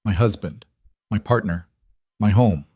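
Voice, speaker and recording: A man voicing a young woman who speaks quietly and firmly. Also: fairly low pitch, unhurried, husky, dry recording, studio quality. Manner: firm, quiet. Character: resolute young female rancher's wife.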